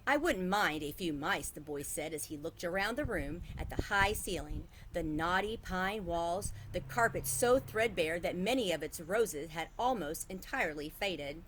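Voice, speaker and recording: A woman narrates a children's story with expression. Her voice sits a little low, and there is faint noise in the recording. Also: moderate pace, few pauses, fairly bright tone, rough recording. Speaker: read-aloud storyteller. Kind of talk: expressive children's book reading.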